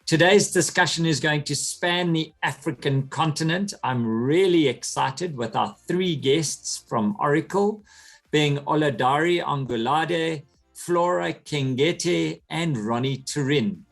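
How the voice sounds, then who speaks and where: A man's voice: on the high side, measured pace, creaky, soft background music. A man hosting an interview podcast, effusive and chatty as he welcomes and questions his guest. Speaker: podcast host.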